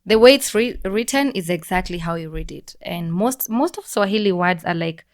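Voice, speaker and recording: A young woman with a Kenyan accent, a podcast guest explaining things in a relaxed, teacherly way with frequent restarts. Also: fairly low pitch, moderate pace. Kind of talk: casual conversational explaining.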